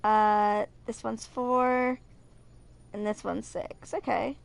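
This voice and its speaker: Higher-pitched young woman, casual gamer commentary. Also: steady tone, takes it slowly, vocal fry. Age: 20s. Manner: stumbles over words when reading aloud. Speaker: video game streamer. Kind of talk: casual gaming commentary, some reading aloud.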